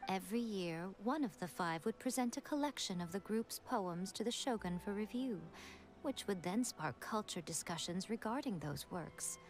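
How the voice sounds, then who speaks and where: A woman's voice: mid-range voice, expressive, natural voice. A woman voicing a sly fox-spirit priestess. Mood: explaining. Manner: smooth, teasing, unhurried and regal. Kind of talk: game cutscene voice acting. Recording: game audio with soft music behind. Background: music.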